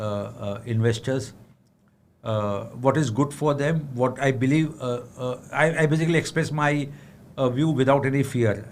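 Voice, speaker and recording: A man's voice: mid-range voice, a little hiss or hum. A middle-aged man with an Indian English accent answers viewer questions about the budget, speaking frankly with many fillers and restarts, adding tag questions and sometimes switching into Hindi. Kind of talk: livestream Q&A on the budget.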